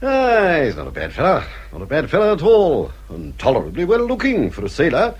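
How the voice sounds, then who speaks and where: An actor playing a vain, snobbish older English father in a period radio drama, with pompous, hesitant speech and drawn-out exclamations. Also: a little high, huge pitch swings, husky, full character voice. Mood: smug, indulgent.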